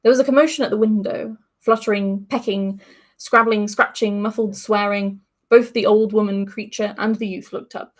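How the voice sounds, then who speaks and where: A woman's voice: mid-range voice, fairly even intonation, moderate pace. A woman podcast host reading a story aloud in a chatty manner. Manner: chatty, laughs between passages.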